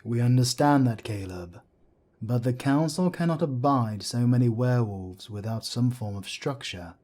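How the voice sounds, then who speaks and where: An actor playing a calm male council elder who mediates between quarrelling members. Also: mid-range voice, moderate pace, natural voice. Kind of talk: audio drama acting.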